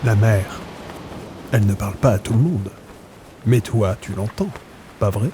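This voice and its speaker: Man playing a deep-voiced, weary old sailor. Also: unhurried, mellow, a little hiss or hum, lightly acted. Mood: knowing, brooding. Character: old storm-worn sailor, melancholy and haunted by lost comrades. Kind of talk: French audio drama acting.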